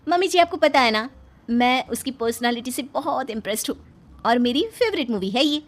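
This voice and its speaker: A woman voicing a young, bold, cheerful daughter-in-law obsessed with films, playful and theatrical in an animated story. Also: high voice, a little fast. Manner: playful, theatrical, delivers dramatic movie-style lines. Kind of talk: animated moral story dialogue.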